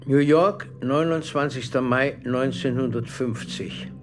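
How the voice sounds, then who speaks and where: A man's voice: mid-range voice, unhurried, close to an everyday voice. Man as courteous, determined letter writer, faint music. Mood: polite resolve. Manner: courteous, formal. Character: polite, determined émigré claimant writing a letter. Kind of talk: documentary radio reading of a letter.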